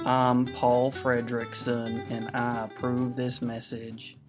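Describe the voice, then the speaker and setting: A stiff small-town mayoral candidate, played by a man in a radio-play ad. He speaks flat and slow. Plucked guitar music plays clearly behind him. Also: mid-range voice, lightly acted. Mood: earnest. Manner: stiff, monotone political-ad delivery.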